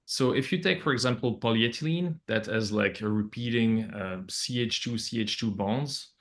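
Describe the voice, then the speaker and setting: A man giving explanatory interview answers as a scientist, in halting, hesitant phrasing. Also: mid-range voice, fairly bright tone. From Belgium. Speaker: project scientist, interview guest.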